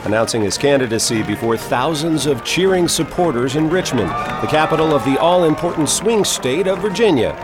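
Crisp reporter played by a man, music underneath. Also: on the high side, expressive, a little fast, hardly any pauses, crowd noise, close to an everyday voice. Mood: newsy. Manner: crisp, newsy. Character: male TV news reporter.

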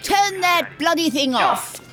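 A woman's voice: very high-pitched, lively intonation, slow, music in the background, full character voice. A woman playing a crotchety, bossy elderly woman who is hurt, scolding and groaning in an audio comedy drama. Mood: exasperated, desperate.

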